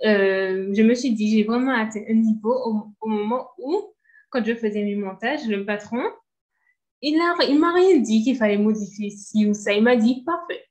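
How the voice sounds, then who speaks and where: A woman's voice: on the high side, normal speed, a little mellow. A young woman, a former intern on a panel, giving an enthusiastic, casual personal account.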